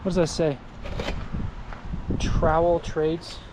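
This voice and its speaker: A man exploring an abandoned building, giving low-key running commentary into a body-worn camera as he walks. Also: little pitch movement, takes it slowly, noisy background. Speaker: urban explorer. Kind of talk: walkthrough narration while exploring. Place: outdoors then inside a large empty building. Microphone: body-worn action camera.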